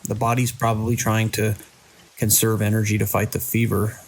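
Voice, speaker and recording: An actor playing a controlling male hospital director in an audio drama, brisk and dismissively reassuring as a crisis grows. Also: lowish voice, completely flat delivery, slight background noise, natural voice. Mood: businesslike, reassuring.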